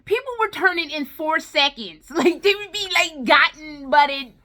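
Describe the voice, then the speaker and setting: High-voiced, blunt sweary woman ranting. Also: animated, normal speed, bright, forceful tone. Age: adult, no longer young. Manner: sweary, blunt, exasperated, slangy. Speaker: vlogger reviewing films. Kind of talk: casual ranting commentary, chatty.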